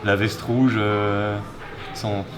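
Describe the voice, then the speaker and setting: A man's voice: fairly low pitch, flat delivery, slow. Hesitant young man explaining his drawings. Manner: hesitant, many fillers. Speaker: character designer. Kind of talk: casual explanation.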